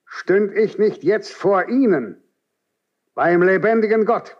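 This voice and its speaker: Stern, slow-speaking old inquisitor. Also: male voice, high-pitched. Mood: stern, commanding. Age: older. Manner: severe, measured, declamatory, reproachful. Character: aged Grand Inquisitor, stern, unyielding old priest. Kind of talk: classical German radio drama acting. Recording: dull 1970s radio drama recording.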